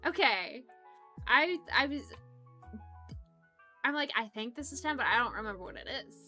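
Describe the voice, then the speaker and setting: A woman's voice: sits high, lively intonation, normal speed, pushed and bright, faint music behind. A young woman streaming, chatting casually with her viewers in a rambling, giggly way that often trips over words. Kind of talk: casual chat with live chat.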